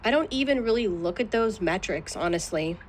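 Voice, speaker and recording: A woman's voice: mid-range voice, lively intonation, normal speed, slight background noise. A woman answering questions in a legal deposition in a guarded, clipped manner. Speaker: plaintiff being deposed.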